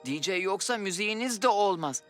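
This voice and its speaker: A woman's voice: mid-range voice, expressive, fairly quick, over loud music, close to an everyday voice. Helpful magical keeper acted by a woman for kids' animation: youthful, medium register, patient explaining. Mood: thoughtful. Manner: explanatory, friendly. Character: young, kindly, knowledgeable keeper of magic wishes. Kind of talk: children's cartoon dub.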